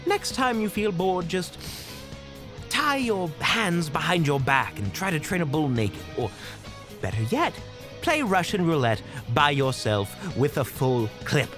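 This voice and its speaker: An actor playing a kind-hearted monster hunter in an audio roleplay, dry, sarcastic and gently scolding. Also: mid-range voice, big pitch swings, a little slow, music in the background. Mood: wry scolding. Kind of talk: audio roleplay drama acting.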